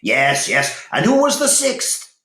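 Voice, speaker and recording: A proud Saxon lord of a storybook, put on by a male reader, eager and commanding. The voice jumps up in pitch with emphatic swings, in an echoey room. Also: wildly swooping pitch, slow pace, bright, forceful tone, exaggerated voice.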